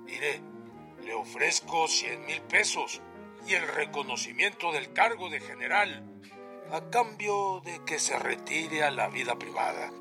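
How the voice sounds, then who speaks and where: An actor playing an oily, condescending Mexican federal army general in a radio drama. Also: male voice, a little high, big pitch swings, a little slow, gravelly, over music, heavily acted. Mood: smugly coaxing.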